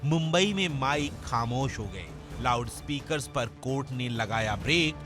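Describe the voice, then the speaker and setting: A man's voice: mid-range voice, animated, a little slow. A man delivering scripted, dramatic news documentary narration in a solemn, emphatic storytelling manner. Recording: music under the voice. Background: background music.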